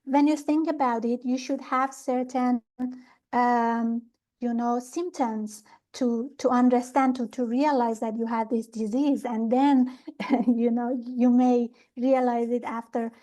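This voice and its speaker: A middle-aged woman from Iran calmly explaining pranic healing ideas in an interview, pausing often with fillers and restarts. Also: on the high side. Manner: calm, thoughtful, with many fillers and restarts.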